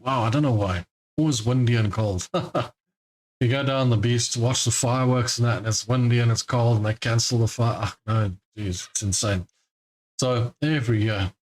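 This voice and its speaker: A man's voice: medium pitch, rough recording. Chatty trading-stream host, man. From born in Scotland, grew up in South Africa. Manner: chatty, rambling, laughs often. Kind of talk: casual live-stream chat. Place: home in Cape Town.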